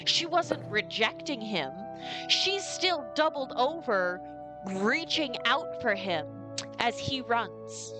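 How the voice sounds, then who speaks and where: A woman's voice: fairly high pitch, expressive, takes it slowly. A woman running a tabletop game as dungeon master, narrating scenes and questioning the players. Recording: background music.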